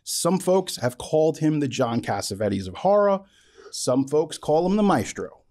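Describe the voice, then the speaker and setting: A man's voice: on the high side, big pitch swings, measured pace, a little mellow. A man hosting an interview show, effusive and reverent, speaking with dramatic emphasis and enthusiasm. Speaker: podcast host. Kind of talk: enthusiastic interview with a prepared introduction.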